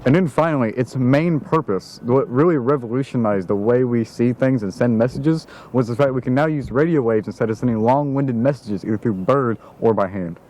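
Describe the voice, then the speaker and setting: A man's voice: medium pitch, brisk, hardly any pauses, a little mellow, slight background noise, poor audio. A man presenting an informal explainer in a narrating style.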